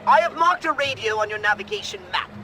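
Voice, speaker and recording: Imperious alien boss for a game: very high, piercingly sharp, prim, theatrical, perpetually exasperated. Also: male voice, animated, fast talker, faint music behind, exaggerated voice. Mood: officious, urgent. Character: fussy, imperious alien commander giving orders. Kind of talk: video game cutscene acting.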